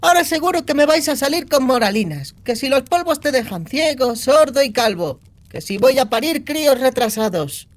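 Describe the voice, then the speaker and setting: A woman's voice: sits high, very animated, moderate pace. A woman playing a foul-mouthed, cynical young outlaw girl in a fantasy audiobook, talking in a crude, sardonic, slangy way. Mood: mocking. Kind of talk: acted audiobook dialogue.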